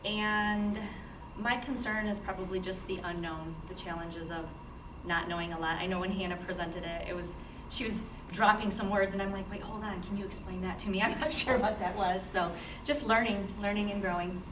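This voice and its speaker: Woman, medium pitch, echoey room. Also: normal speed. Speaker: education instructor. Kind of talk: informal spoken introduction. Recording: echoey room, distant mic, steady noise.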